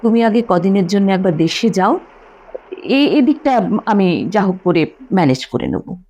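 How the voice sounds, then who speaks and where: A woman's voice: medium pitch, animated, mellow, lo-fi sound. A woman voicing a kindly, hesitant male doctor who repeats words as he reassures, in a dramatic story reading. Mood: hesitant, advising. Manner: halting, repeats words when pressed.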